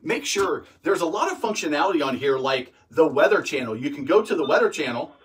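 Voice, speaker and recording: A man's voice: on the high side, very animated, fairly quick, recorded from a distance. A man giving informal, practical instruction like a boating teacher, repeating key points for emphasis. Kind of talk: informal instructional video talk.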